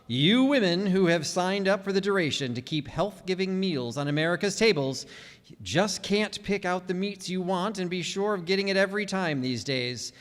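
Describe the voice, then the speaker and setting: A man plays an old-time radio announcer and pitchman. His voice is high for a man, earnest and declamatory, with polished phrasing that rings through a big hall. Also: lightly acted. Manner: declamatory, persuasive. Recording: reverberant hall stage recording.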